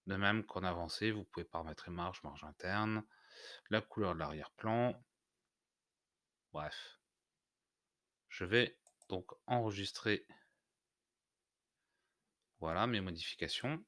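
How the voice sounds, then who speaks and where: A man narrating an unscripted software tutorial, explaining step by step in a hesitant way. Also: low-pitched, slow pace, pauses often, no echo, studio quality. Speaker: tutorial host.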